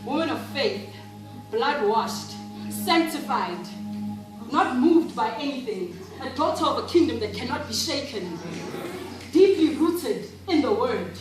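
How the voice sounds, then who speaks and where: Female actor for devout South African churchwoman, high voice, theatrical spoken-word delivery, weary of pretence; distant, music underneath. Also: very animated. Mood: fervent. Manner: theatrical, emphatic, with repeated phrases and dramatic pauses. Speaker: monologue performer. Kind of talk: dramatic spoken-word monologue. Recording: very echoey room, distant mic, music behind.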